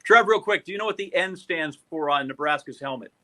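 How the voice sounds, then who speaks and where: Male American sports podcast host, fairly high and sharp-toned, joking and conversational. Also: animated, a little fast. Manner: joking, teasing. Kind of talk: casual sports chat.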